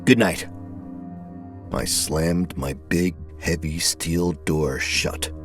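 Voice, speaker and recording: A man reading scripted first-person fiction as narrator, in a measured, deliberate way. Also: deep voice, steady tone, music in the background.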